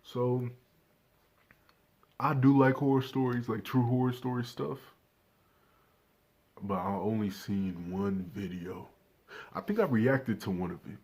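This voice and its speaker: A man's voice: mid-range voice, measured pace, long pauses, a little mellow, breathy edge. A nineteen-year-old man doing casual reaction commentary, laid-back and swearing freely, talking straight to his viewers without a proper microphone. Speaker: reaction channel host.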